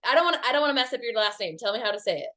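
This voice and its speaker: Woman host, high voice, chatty and teasing, upbeat. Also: lively intonation, racing, bright, forceful tone. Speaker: interview host. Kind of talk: casual interview.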